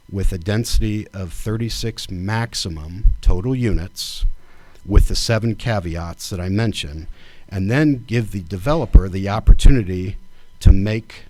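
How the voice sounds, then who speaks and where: A man with a fairly low voice reads out a formal proposal, measured and deliberate. The sound is dry and close. He speaks at a public township meeting. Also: warm tone, dry recording. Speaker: township trustee.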